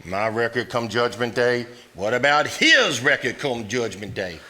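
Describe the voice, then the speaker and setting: Very bright, blunt, nagging man. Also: medium pitch, big pitch swings, measured pace. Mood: indignant, badgering. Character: blunt, nagging, indignant brother in a 1950s family. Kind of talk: live stage acting.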